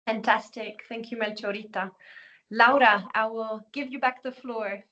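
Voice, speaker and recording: A woman's voice: on the high side, fairly even intonation, normal speed, sharp tone, muffled recording. A woman hosting an online webinar, walking through a website with many fillers and restarts. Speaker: network host presenting the platform.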